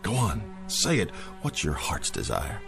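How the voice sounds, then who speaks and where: A very deep-voiced man plays a gentle, warm-hearted Santa figure in an old audio drama. His voice swoops lively across its range, with music underneath. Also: measured pace, vocal fry. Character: kindly man playing Santa Claus. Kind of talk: radio drama acting.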